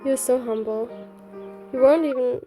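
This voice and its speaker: A woman's voice: high-pitched, normal speed, warm tone, lightly acted. A woman voicing an earnest young heroine who lightly teases her childhood friend in romance game dialogue. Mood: teasing. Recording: background music.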